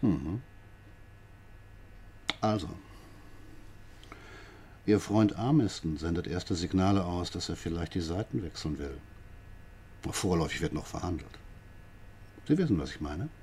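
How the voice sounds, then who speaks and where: An actor playing a sly, patronising police inspector who questions a prisoner with drawn-out, needling questions in a radio drama. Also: male voice, low-pitched, animated, mellow, a little hiss or hum. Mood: mock friendly.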